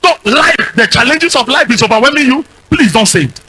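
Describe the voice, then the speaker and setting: A Nigerian man with a husky voice and a Nigerian accent, preaching a fiery charismatic sermon as a pastor, exhorting with repeated commands and declarations. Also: very high voice, expressive, a little fast, bright, forceful tone.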